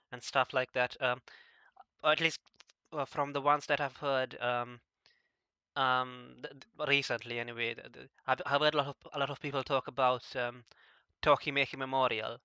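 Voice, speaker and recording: A man conducting a casual interview in a halting way, often stammering over the starts of words. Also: medium pitch, pushed and bright, clear, resonant tone, no room sound, lo-fi sound.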